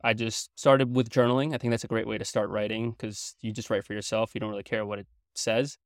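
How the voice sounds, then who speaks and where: A man's voice: fairly low pitch, flat delivery, fairly quick, dry recording, studio quality. A young man from Belgium, a native French speaker, talking as a guest in a casual interview chat, earnest and explanatory, with a casual manner full of fillers and restarts.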